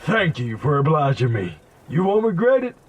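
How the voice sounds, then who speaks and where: A man voicing an eccentric, grandiose inventor and mystic in a video game, raspy, creaky and theatrically verbose. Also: on the high side, very animated, moderate pace, muffled recording. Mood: grandiose, excited. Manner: theatrical, verbose, bursts of emphatic exclamation. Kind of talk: video game character acting.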